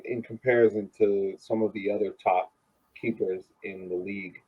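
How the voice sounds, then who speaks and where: A man co-hosting a soccer podcast, giving casual analysis in a halting way, repeating words as he builds his point, on a dull lo-fi microphone. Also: fairly low pitch, fairly even intonation, husky.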